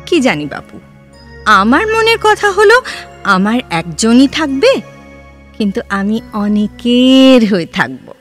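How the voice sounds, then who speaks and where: A woman's voice: fairly high pitch, big pitch swings, slow pace, fairly bright tone, soft background music, exaggerated voice. A woman playing a free-spirited, inquisitive, romantic young woman in an audio drama, with a playful, probing and theatrical delivery. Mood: curious, candid. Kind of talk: Bengali audio drama dialogue.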